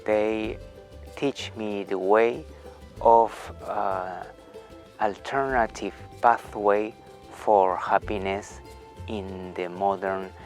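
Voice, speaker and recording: Slow, halting man. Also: fairly low pitch, steady tone. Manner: halting, with frequent uh pauses. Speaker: psychology professor. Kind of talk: recorded lecture for students. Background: faint music.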